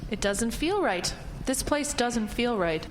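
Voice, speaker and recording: A woman's voice: fairly high pitch, expressive, measured pace, big-room sound, a little hiss or hum. A woman acting in a radio drama as a polite, easily spooked house seller, hesitant and nervous in manner. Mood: uneasy, spooked.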